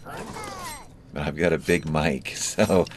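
A man's voice: a bass voice, steady tone, drawn-out words, music in the background. A man hosting a let's play, casually coaching his partner through tactics. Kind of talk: casual gameplay commentary. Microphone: desktop USB mic.

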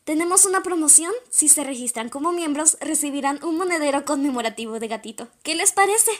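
A woman's voice: sits high, lively intonation, brisk. A woman playing a girlish teenage schoolgirl working in a shop, in an amateur manga dub. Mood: polite. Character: bossy but shy teenage girl shop worker. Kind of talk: amateur manga fandub acting.

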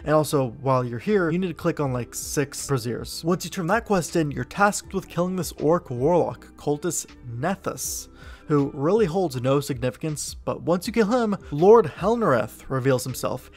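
A man's voice: fairly high pitch, expressive, normal speed, darkish tone. Man explaining game lore over music. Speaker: video game lore narrator. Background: background music.

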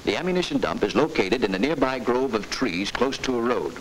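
Male actor, stern army instructor narration, emphatic, animated intonation, faint hiss of 1940s film. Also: mid-range voice, brisk, close to an everyday voice, rough recording. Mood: stern, instructive. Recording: hissy 1940s film soundtrack.

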